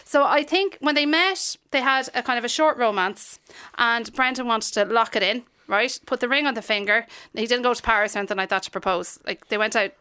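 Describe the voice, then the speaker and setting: A woman's voice: a little high, fairly quick, hardly any pauses, bright, forceful tone, clear, resonant tone. A woman with an Irish accent chatting casually in a radio studio, explaining things in a playful, mock-earnest way. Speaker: radio show contributor and director of a skit.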